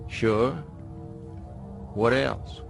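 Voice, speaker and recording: An actor playing a wry, gruff dead hacker's recorded mind, a folksy and laconic old mentor in a radio drama. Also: male voice, mid-range voice, fairly even intonation, very slow. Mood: wry, knowing. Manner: folksy, laconic, calling the listener boy. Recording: music under the voice. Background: loud music.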